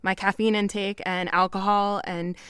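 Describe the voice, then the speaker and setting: An anxious, wry young woman played by an actress with a clear, smooth voice of average pitch. She rambles candidly and haltingly, recorded dry and close, in a naturalistic audio drama. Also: flat delivery, a bit bright, dry recording, close to an everyday voice. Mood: reflective, resigned.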